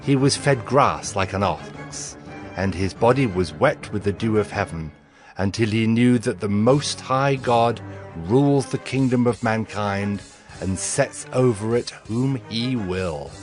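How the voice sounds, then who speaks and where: A man playing a grave, bold Hebrew prophet in a dramatized scripture reading, speaking in a solemn, declamatory manner. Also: fairly low pitch.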